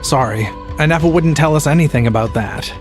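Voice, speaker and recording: A man's voice: a little high, brisk, music in the background, close to an everyday voice. A man voicing a tired male traveling merchant in a video game. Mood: weary.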